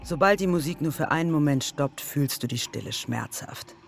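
A woman's voice: low-pitched, expressive, moderate pace, vocal fry. A woman playing a taunting inner voice, narrating insistently in the second person in a literary radio play. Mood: cutting. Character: taunting inner voice addressing the wife as you. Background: music.

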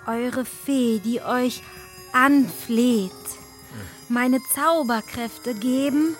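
An actress playing an earnest, self-doubting fairy in a children's radio play, with a theatrical delivery. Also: female voice, high-pitched. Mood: solemn, incanting.

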